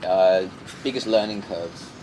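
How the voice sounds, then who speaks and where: Male interviewer, slightly deep, informal and affirming, recorded on a city rooftop with light background traffic. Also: unhurried. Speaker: interview host. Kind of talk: casual chat. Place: outdoors on an urban rooftop. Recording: faint outdoor city noise.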